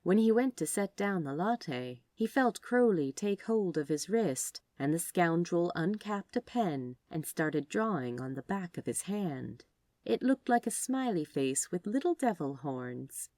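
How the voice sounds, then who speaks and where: Low-voiced, expressive woman narrator. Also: a little slow, no echo. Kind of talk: expressive scripted fiction narration.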